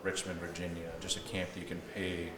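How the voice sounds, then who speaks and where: Deep flat-voiced young man from South Africa. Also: brisk, a crowd in the background. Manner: easygoing, lots of "like" and "you know". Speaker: guest, football player. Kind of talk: relaxed interview storytelling. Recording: echoey room with mic at a distance and noise.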